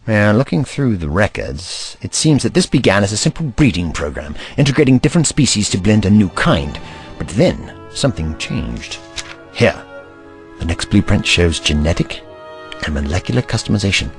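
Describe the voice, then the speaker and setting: A man acting an animated, commanding doctor hero. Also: fairly low pitch, normal speed, faint music behind. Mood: thoughtful. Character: urgent, protective male alien doctor and investigator. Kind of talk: audio drama acting.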